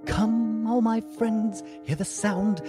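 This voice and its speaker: A woman playing a solemn storybook narrator, speaking in slow rhymed verse. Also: a little high, fairly even intonation. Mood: ominous. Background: music.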